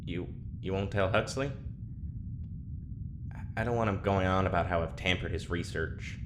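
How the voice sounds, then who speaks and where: An actor playing an awkward, anxious prison inmate with a dry sense of humour, speaking in a deadpan, hesitant ramble for an audio drama. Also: male voice, deep voice, moderate pace, lightly acted. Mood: guarded, troubled. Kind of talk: naturalistic audio drama acting. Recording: clearly noisy ambient background.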